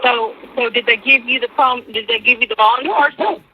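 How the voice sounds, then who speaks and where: A woman's voice: on the high side, normal speed, slight background noise. A middle-aged or older woman talking casually as she receives a recorded jail phone call. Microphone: telephone.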